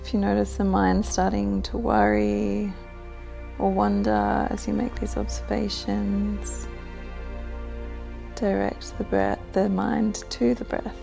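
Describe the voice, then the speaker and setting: A woman leading a guided meditation with gentle, soothing instructions. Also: medium pitch, slow pace, vocal fry, soft background music.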